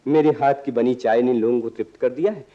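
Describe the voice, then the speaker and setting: An actor playing a young, unemployed idealist in a radio play, bantering and philosophical with literary turns of phrase. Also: male voice, mid-range voice, fairly quick, close to an everyday voice. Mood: teasing. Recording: dull lo-fi archive radio recording.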